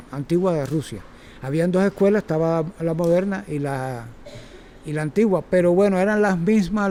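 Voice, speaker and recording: A man's voice: on the high side, dark tone, a little hiss or hum. A Cuban man in his sixties giving an informal, rambling lecture to circus students, often correcting himself. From Cuba. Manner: rambling, self-correcting, chatty. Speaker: circus instructor.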